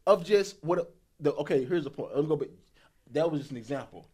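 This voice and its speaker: A man's voice: on the high side, animated, a little fast, dark tone, slightly rough. Man in his thirties chatting casually. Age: 30s. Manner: rambling, repeats himself, colloquial, laughs often. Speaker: podcast co-host. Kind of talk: casual relationship advice talk.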